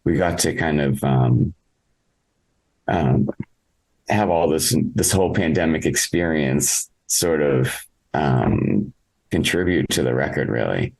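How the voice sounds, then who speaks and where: A man talking casually as an interviewed musician, halting and reflective as he thinks aloud. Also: rumbling low, animated, gravelly, a little mellow, poor audio. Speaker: interviewed musician, singer in a band.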